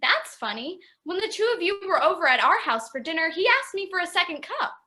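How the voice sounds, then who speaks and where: A woman's voice: very high-pitched, big pitch swings, a little fast, pushed and bright, heavily acted. A woman playing a self-assured, sweetly patronizing housewife friend in an old-style radio commercial, earnest and polished. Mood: confiding, smug.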